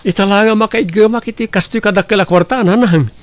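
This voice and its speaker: A man's voice: high voice, fairly quick, slight background noise, close to an everyday voice. A man with an Ilocano accent playing a humble, earnest young farmer son in an audio drama. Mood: grateful, glad.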